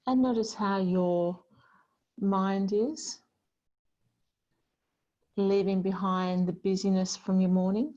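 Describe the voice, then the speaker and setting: A woman teaching a yoga class, giving gentle, unhurried guidance with pauses between phrases. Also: mid-range voice, steady tone. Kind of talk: guided yoga class instruction. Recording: slightly dull, lo-fi sound.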